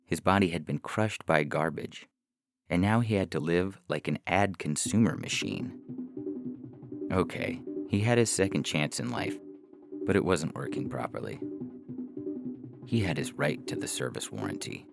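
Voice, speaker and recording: Male fiction narrator, wry and dramatic, extremely low register with fry, over a prominent music bed. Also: lively intonation, normal speed, pauses often. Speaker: story narrator.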